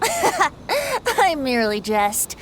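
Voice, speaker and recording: A woman's voice: extremely high pitch, wildly swooping pitch, very slow, a bit bright, a little hiss or hum, full character voice. A woman playing a boyish, playful wandering male bard in a video game, theatrical and teasing in manner.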